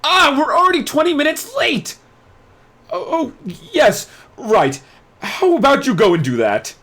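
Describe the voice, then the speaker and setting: An excitable male head mage from a fantasy storybook. His voice leaps very high and swings widely, dramatic and full of fluster. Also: unhurried, heavily acted.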